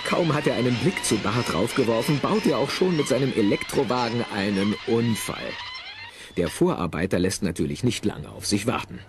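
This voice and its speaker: A man narrating a comedy audio drama in an animated, wry way with rhetorical questions. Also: medium pitch, normal speed. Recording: music under the voice. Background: music.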